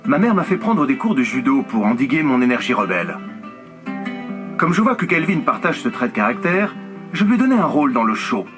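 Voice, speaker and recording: A man's voice: medium pitch, very animated, brisk, natural voice. A middle-aged man dubbing a documentary subject in an earnest, heartfelt voice-over. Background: music.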